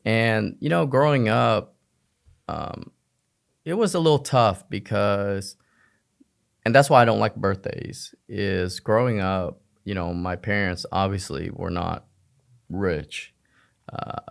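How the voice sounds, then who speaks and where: A man's voice: fairly low pitch, slow pace, creaky, long pauses. A man telling personal stories casually and informally, with self-deprecating rhetorical questions. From United States, raised in Oklahoma and elsewhere, Vietnamese family. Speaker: podcast guest, physician. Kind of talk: casual personal storytelling in a conversation.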